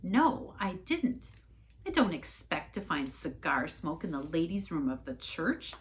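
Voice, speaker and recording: A woman's voice: deep voice, sing-song, measured pace. A woman voicing an indignant, flustered church committee lady with a huffy, defensive manner in a storybook reading.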